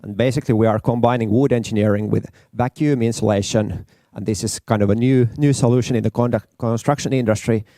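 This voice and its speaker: Low, mellow man. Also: quick pace, few pauses, no echo, studio quality. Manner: relaxed, repeats words. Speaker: startup co-founder, panelist. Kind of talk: panel talk. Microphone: handheld.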